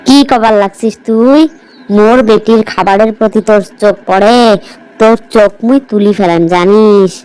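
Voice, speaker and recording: A village stepmother from a cartoon morality tale, acted by a woman, bossy and plain-spoken. Her voice is clean and smooth at a middling pitch, with a rustic northern Bangladeshi accent. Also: fairly even intonation, moderate pace, a little mellow, natural voice, low-quality recording. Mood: angry, threatening. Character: harsh, scolding village stepmother who favours her own daughter. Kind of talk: amateur cartoon story voice acting.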